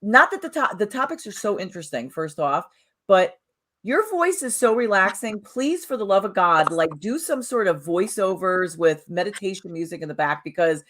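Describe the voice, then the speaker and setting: A woman's voice: mid-range voice, animated, normal speed, a bit bright, slight background noise. A woman co-hosting a casual spiritual chat podcast, talking in a rambling way with many restarts and "you know"s. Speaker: podcast co-host.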